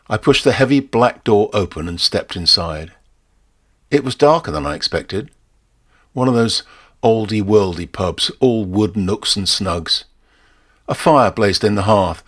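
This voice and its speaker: A British man with a low-pitched voice narrates a scripted short story. His delivery is measured and expressive, shaped for storytelling. A dry, wry humour runs through the way he tells it.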